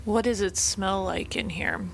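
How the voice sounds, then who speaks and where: A woman's voice: mid-range voice, sing-song, measured pace, slight background noise. A woman playing in a tabletop role-playing game, asking questions and thinking through her moves aloud. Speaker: player.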